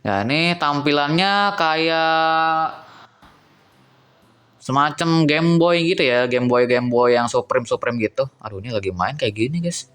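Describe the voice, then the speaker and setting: A man's voice: fairly high pitch, unhurried, fairly bright tone, clean tone, soft background music. Chatty man reviewing a phone. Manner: chatty, thinks aloud, addresses viewers as guys. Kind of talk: casual phone review vlog.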